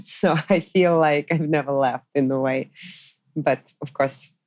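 Low-voiced woman with a Russian accent. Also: steady tone, darkish tone, dry recording. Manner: reflective, pauses with frequent fillers. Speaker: podcast host and dating coach. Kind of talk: casual solo podcast talk. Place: quiet farmhouse in the countryside.

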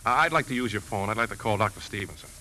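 Actor as a low-voiced, troubled 1950s radio leading man. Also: rapid-fire, music in the background, lightly acted, rough recording. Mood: grave, uneasy. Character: troubled, loyal uncle investigating his niece's death. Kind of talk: 1950s radio drama acting with first-person narration.

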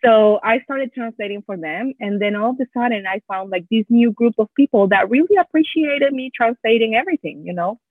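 A woman's voice: a little high, dry recording. Spanish-accented woman guest, chatty DIY creator, dry thin phone-quality sound. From Latin America. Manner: animated, quick storytelling with many fillers. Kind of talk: casual interview chat. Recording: dry tinny phone-like line.

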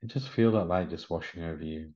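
A man leading a guided meditation in a gentle, soothing manner, with short unhurried instructions. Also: low-pitched, husky. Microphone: video call. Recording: slightly muffled video call audio.

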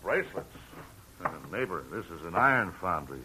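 A menacing gangster boss, taunting in a low, falsely friendly voice. This is 1940s radio drama, heard on an old broadcast with a steady hiss. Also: male voice, normal speed. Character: cocky menacing male hoodlum boss.

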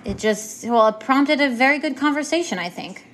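A woman being interviewed, recalling events casually and hesitantly, pausing to search for words. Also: sits high, expressive, a bit bright. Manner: hesitant, with many fillers and restarts. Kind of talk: casual recollection.

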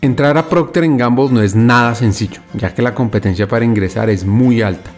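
A man's voice: mid-range voice, a little fast, darkish tone. A man narrating a guest's profile as host, in a scripted storytelling style. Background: guitar music.

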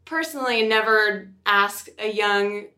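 A woman with a bright, forward voice at an average female pitch co-hosts a podcast. Her talk is conversational, earnest and emphatic. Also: a little slow. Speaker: podcast co-host.

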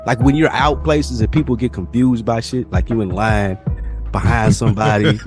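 Young male podcast co-host, direct and slangy, lowish voice, clear music bed. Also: steady tone, normal speed. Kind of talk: casual chat.

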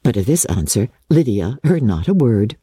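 A woman reading classic fiction as an audiobook narrator, in a measured, expressive dramatic style. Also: a bass voice, husky, no echo, clean studio sound.

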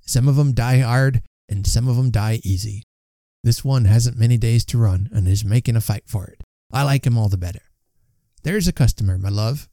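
Blunt, complaining middle-aged shopkeeper acted by a man, callous, gravelly, storybook style. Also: medium pitch, moderate pace, warm tone, no room sound, clean studio sound, natural voice. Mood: smug, musing. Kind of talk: audiobook character voice.

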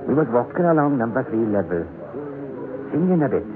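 A man's voice: mid-range voice, big pitch swings, a little fast, close to an everyday voice. An actor playing an old Welsh miner, a haunted survivor telling his tale in a brooding way in a radio horror drama. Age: older. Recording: noisy, tinny old radio recording.

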